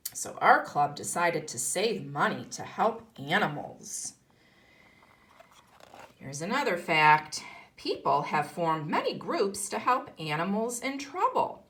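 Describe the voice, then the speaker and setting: A woman's voice: lowish voice, fairly bright tone. A woman teaching kindergarten, reading a picture book aloud to young children and explaining as she goes. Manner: instructional, explaining and posing questions to children.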